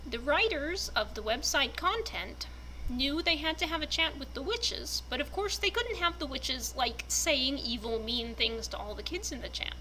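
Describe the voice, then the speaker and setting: Animated, high-pitched woman narrator. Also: moderate pace, a little hiss or hum, low-quality recording. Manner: animated, enthusiastic, expressive. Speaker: fan narrator, reader. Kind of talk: dramatic reading and casual fan commentary.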